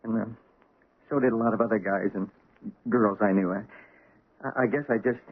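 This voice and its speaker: A nervous, awkward young husband who stammers and trails off. His voice is a little husky. It sounds tinny, like an old radio broadcast. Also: fairly low pitch, fairly even intonation, normal speed. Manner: hesitant, stammering.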